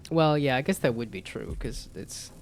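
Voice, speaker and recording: A man's voice: mid-range voice, expressive, normal speed, gravelly, noisy background. A young-sounding man with a slightly nasal voice, chatting casually and rambling while he streams a game. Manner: laid-back, rambling with restarts. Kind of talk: casual gameplay chat.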